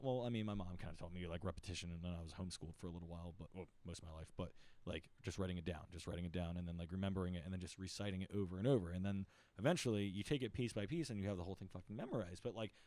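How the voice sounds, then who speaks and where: A man's voice: low-pitched, darkish tone, dry recording. A man hosting a podcast in casual chat, loose and swearing often. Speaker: podcast host.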